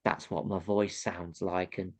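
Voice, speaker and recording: A man's voice: deep voice, flat delivery, measured pace. A man with a nasal voice, a comedian chatting casually as an interview guest, laughing often and acting out how audiences react.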